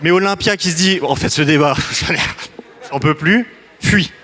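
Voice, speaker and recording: A man runs a citizens' assembly in a loose, joking way, often breaking off and restarting his sentences. His voice sits a little high for a man. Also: normal speed, pushed and bright. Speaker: facilitator.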